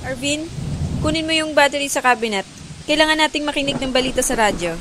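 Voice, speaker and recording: Lady acting an anxious mom, high pitched, radio play, noisy background. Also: quick pace, lightly acted. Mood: alarmed. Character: protective, anxious mother of a family.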